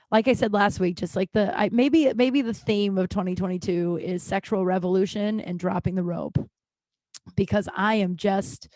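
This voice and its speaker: A woman's voice: medium pitch, dry recording. A woman in her late thirties hosting a solo podcast, rambling candidly and confessionally with plenty of swearing and restarts. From Denver, USA. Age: 30s. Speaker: podcast host.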